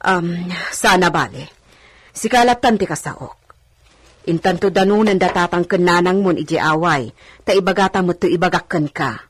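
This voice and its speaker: A woman's voice: on the low side, normal speed, slightly rough, no room sound. A woman playing an anxious, persistent older village servant in a radio drama, earnest and coaxing.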